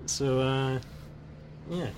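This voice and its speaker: This man co-hosts a homemade radio show in a casual, wry way, dropping deadpan quips. His pitch is middling, with faint background noise behind him. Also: very slow. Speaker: co-host. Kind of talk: casual chat.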